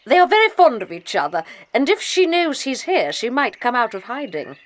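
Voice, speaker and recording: A woman's voice: high voice, very animated, normal speed. A woman playing a polite, concerned elderly grandmother in a children's audio drama. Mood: grateful, concerned.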